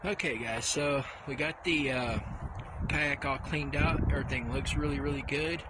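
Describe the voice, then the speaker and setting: A man's voice: on the high side, moderate pace. An older man hosting a casual how-to vlog in a windy backyard, easygoing, with lots of "uh" fillers and doubled "really, really". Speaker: outdoors channel host. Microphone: clip-on mic, camera on tripod and handheld. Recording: wind noise on the mic. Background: wind.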